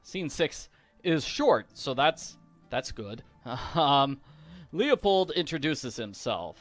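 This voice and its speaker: A man's voice: medium pitch, big pitch swings, takes it slowly, faint music behind. A man hosting a video game walkthrough with casual, chatty commentary, recorded close on a mic. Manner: chatty, acts out quoted lines.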